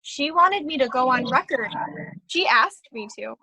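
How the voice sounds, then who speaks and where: A woman's voice: sits high, expressive, a little slow, pushed and bright, slightly rough, no echo, exaggerated voice, rough recording. An actress in a staged reading playing an aggrieved, suspicious woman, insistent and argumentative. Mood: accusing. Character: aggrieved, suspicious woman accusing a scheme of cheating her.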